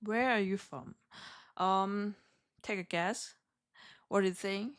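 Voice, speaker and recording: A woman from Hong Kong with a heavy Hong Kong accent, chatting with viewers on a live trading stream in halting, self-correcting English. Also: mid-range voice. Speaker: day trader streaming live.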